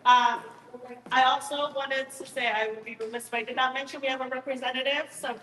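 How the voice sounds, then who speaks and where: A woman runs a town meeting as moderator, loud and direct with a light joking edge. Her fairly high voice sits at a distance from the mic. A long reverb fills the room, with faint noise under it. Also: fairly even intonation, fairly quick. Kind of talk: event moderation. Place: large hall at a town meeting. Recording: echoey hall, voice from far off.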